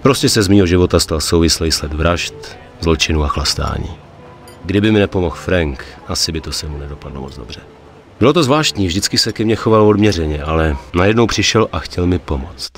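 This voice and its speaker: Low-voiced, weary young gangster. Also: male voice, expressive. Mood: weary, reflective. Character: weary, cynical young gangster recounting his criminal life. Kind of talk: dramatic game cutscene dialogue and narration. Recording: music under the voice.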